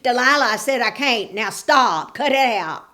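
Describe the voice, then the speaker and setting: A woman voicing a dim, strong young man, loud and blustering. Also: mid-range voice, moderate pace, close to an everyday voice. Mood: flustered.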